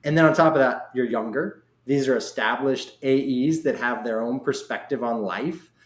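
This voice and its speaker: A man's voice: medium pitch, normal speed. Man hosting in an echoey room. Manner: validating, talks through points with "you know". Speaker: podcast host. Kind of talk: conversational interview. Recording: roomy, echoing sound.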